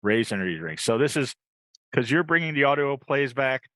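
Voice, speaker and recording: A man's voice: mid-range voice, very animated, a little fast, a bit bright, no echo. A man hosting a casual podcast on a video call, rambling a little and repeating his phrases as he chats and reads plugs. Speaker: podcast host.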